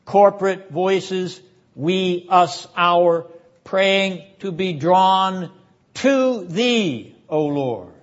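A man delivering a prayerful, rhetorical sermon lecture in a solemn, incantatory manner, with repeated phrases and deliberate pauses. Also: sits high, dull audio. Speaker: seminary dean and Bible teacher.

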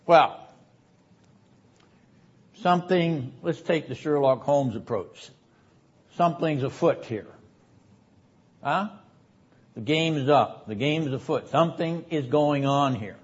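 Male seminary lecturer, fairly high-pitched and very slow, emphatic and repetitive for effect, on a muffled lecture recording. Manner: dramatic, deliberate, emphatic, with rhetorical repetition; frequent throat-clearing. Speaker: seminary academic dean and lecturer. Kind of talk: theology lecture.

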